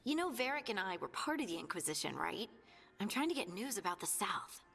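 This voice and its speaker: A woman's voice: medium pitch, big pitch swings, a little fast, fairly bright tone, breathy edge, full character voice. A woman playing an earnest, anxious companion in a video game, often correcting herself as she talks. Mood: worried, self-reassuring. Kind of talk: video game dialogue acting. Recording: long reverberant hall, music underneath. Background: game music.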